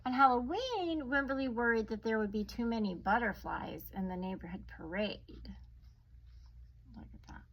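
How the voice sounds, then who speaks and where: A woman reading a children's story aloud like a teacher, expressively drawing out words and adding hushed, chatty asides. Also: on the high side, lively intonation, moderate pace, highs cut off. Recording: noticeable background noise.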